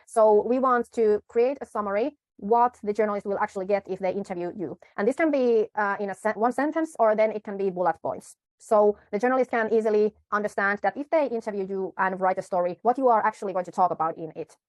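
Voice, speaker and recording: Woman, media pitch coach, tutorial talk, self-correcting, dry close sound. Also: no room sound. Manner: unhurried, with frequent pauses and self-corrections.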